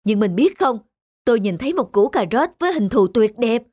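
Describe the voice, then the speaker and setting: A man's voice: very high voice, sing-song, fairly quick, a little mellow, dry recording, studio quality, heavily acted. Devoted, earnest rabbit husband played by a man. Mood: tender, earnest. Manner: earnest, tender storytelling. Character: gentle, devoted, simple-hearted male rabbit husband. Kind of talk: animated fairy tale acting. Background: music.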